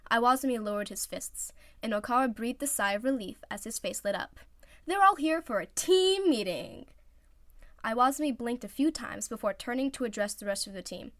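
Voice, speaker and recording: A woman narrating fan fiction as an amateur reader, expressive and scripted. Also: a little high, fast, hardly any pauses, no room sound, clean studio sound.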